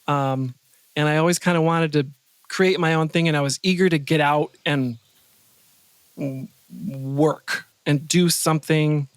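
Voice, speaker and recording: An American man with a fairly high-pitched voice speaks in a casual interview. He rambles and playfully interrupts himself as he goes. The sound is dry, with hardly any room in it. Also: steady tone, measured pace, clean tone, dry recording. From Wisconsin, United States. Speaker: podcast guest, composer and sound designer.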